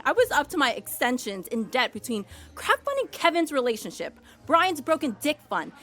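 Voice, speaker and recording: A woman's voice: high-pitched, a bit bright. An actress playing a frazzled, broke young woman with an exasperated, sarcastic manner in a comedy audio drama. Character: frazzled young woman, broke, blunt, fired from her job.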